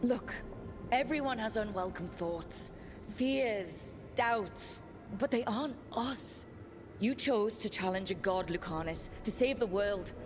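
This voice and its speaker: A woman's voice: medium pitch, animated, takes it slowly, music in the background. A woman playing a steadfast, earnest, determined heroine in dramatic video game dialogue. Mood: earnest, encouraging.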